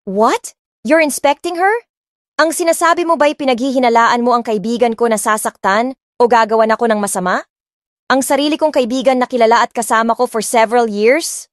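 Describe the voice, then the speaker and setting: A woman's voice: fairly high pitch, fairly quick, no room sound, studio quality, lightly acted. A woman doing a haughty spoiled heiress. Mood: indignant. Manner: indignant and sharp.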